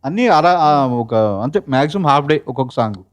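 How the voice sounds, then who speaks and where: A man chatting casually in an interview, telling stories in an animated way and acting out quotes. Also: fairly high pitch, fast. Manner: animated storytelling, acts out quotes. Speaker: film lyricist and writer.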